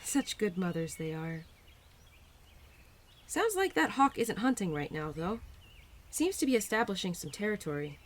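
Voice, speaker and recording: A woman voicing a cheerful, playful young spirit of Spring in an audio roleplay, gentle and affectionate with a giggly, easily flustered manner. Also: medium pitch, very animated, normal speed, pauses often, a little hiss or hum, close to an everyday voice. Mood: observant, gentle. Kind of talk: audio roleplay addressed to the listener.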